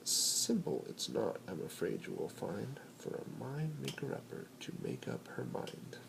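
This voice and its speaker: A man reading a children's picture book aloud in an expressive, playful, rhythmic way with dramatic pauses. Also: mid-range voice, creaky. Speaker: bedtime story reader. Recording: noisy amateur home recording.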